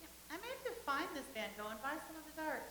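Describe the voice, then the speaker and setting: Roomy, faintly hissy stage recording of an eager old-time young actress played by a woman. Her voice is bright and highly animated, full of dreamy ambition. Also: quick pace, heavily acted. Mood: earnest, eager. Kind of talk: staged play reading, comedic. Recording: roomy echo, faint hiss and noise.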